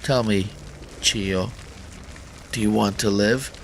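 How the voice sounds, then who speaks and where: A man playing a grave, weary fugitive swordsman in a visual novel, speaking solemnly and deliberately. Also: lowish voice, fairly even intonation. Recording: noticeable background noise.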